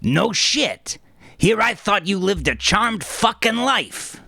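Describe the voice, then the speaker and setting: A man puts on a sarcastic male gangster sidekick for an audiobook. The pitch sits well above a deep narration voice and swings widely. He needles and blusters, sardonic and profane. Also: big pitch swings, takes it slowly, creaky, sharp tone, no room sound, clean studio sound, full character voice. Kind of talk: audiobook character dialogue.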